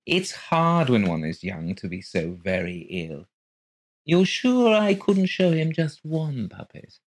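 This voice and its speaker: A fat, creepy puppeteer with a chirpy, wheedling lilt that turns pettish and snappy, as a man reading the story puts him on. His voice sits up high and sing-song. It is a storybook reading. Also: sing-song, slow, full character voice. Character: fat, pettish, sinister middle-aged male puppeteer. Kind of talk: audiobook character voice.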